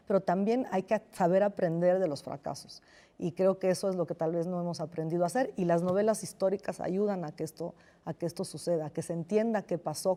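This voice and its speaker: Una escritora de origen italiano con acento italiano, entrevistada en televisión; habla reflexiva, con titubeos y muchas pausas. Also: female voice, on the low side, warm tone. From Italy. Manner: thoughtful, hesitant, many restarts and fillers. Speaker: novelist guest. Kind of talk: television interview.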